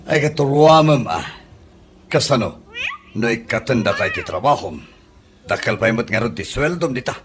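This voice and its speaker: A man's voice: mid-range voice, expressive, moderate pace, long pauses, noisy background, close to an everyday voice. A man with an Ilocano Filipino accent playing a grumbling, advice-giving household elder in a comedy radio drama. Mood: concerned advice. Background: background music.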